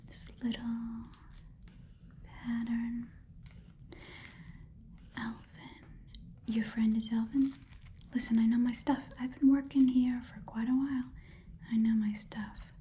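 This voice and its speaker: A woman voicing a chatty, haggling goblin pawn shop keeper in a soft whispered ASMR roleplay, muttering and repeating words to herself. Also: on the high side, level tone, takes it slowly, pauses often, slight background noise. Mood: fussy, absorbed.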